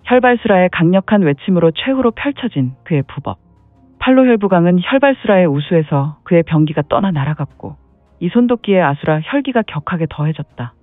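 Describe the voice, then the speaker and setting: Man narrating a martial-arts audio drama, fairly high voice, dramatic, "heh heh" interjections, thin phone-like sound, dry, faint music. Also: no room sound. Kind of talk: scripted dramatic storytelling narration.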